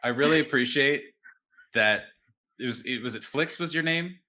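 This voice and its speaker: A man's voice: mid-range voice, normal speed, pushed and bright. A man chatting casually as a player in a tabletop game, with a wry, joking manner. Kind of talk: casual chat.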